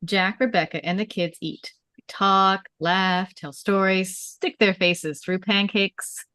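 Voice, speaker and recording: A woman's voice: medium pitch, fairly even intonation, slow, pushed and bright, clean tone, no echo. A woman narrating a script at a table read, reading out scene headings and stage directions.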